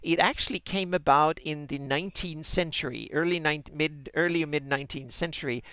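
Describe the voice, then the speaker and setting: A man's voice: a little high, fairly quick, sharp tone, smooth, no echo. A man with a Swedish accent in casual podcast chat, often repeating words and restarting. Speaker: podcast co-host.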